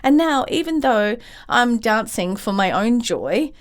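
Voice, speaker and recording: Animated woman hosting a creativity podcast. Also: a little high, a little slow. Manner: warm, encouraging, direct to listeners. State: slightly croaky from bronchitis. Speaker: podcast host and creativity coach. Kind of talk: solo podcast talk.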